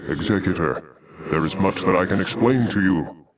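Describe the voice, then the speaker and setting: A man's voice: deep voice, moderate pace, far from the mic, background noise, lightly acted. A man voicing a noble, defiant alien templar commander in a video game, with solemn, dignified, formal delivery. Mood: earnest, resolute.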